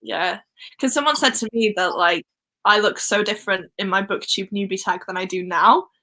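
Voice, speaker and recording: Bright-voiced young Welsh woman. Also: medium pitch, normal speed. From Wales. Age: young adult. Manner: chatty and excitable. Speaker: host. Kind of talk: casual live-stream chat.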